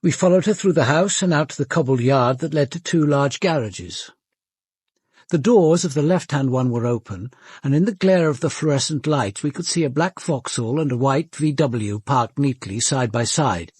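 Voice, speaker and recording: Composed British detective, slightly husky. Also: male voice, medium pitch, animated, darkish tone, no echo, studio quality. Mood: measured, observant. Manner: measured, formal, precise. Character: composed, probing male senior police detective narrating a murder inquiry. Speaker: narrator and lead detective. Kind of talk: radio drama narration and questioning.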